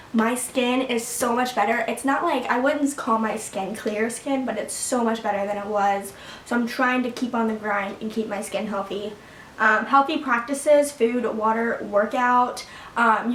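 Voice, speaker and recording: A woman's voice: fairly high pitch, fairly bright tone, distant mic, a little hiss or hum. A seventeen-year-old girl chatting casually to her viewers at home, rambling with lots of fillers and little laughs. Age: teens. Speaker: vlogger. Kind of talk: casual sit-down chat to camera. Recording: echoey room, mic set back.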